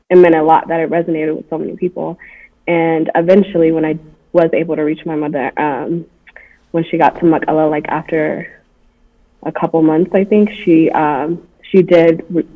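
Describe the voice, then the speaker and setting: A woman guest on a livestream, telling a personal story softly, with frequent pauses and fillers. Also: deep voice. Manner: soft-spoken, halting, many fillers. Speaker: guest, campaign founder. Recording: tinny phone-line audio.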